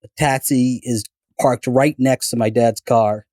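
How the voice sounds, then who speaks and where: A man's voice: medium pitch, steady tone, unhurried, a little mellow, no echo, clean studio sound. Rambling Canadian-born man telling stories. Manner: rambling, many fillers and restarts. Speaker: guest storyteller. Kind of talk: casual podcast chat, telling stories.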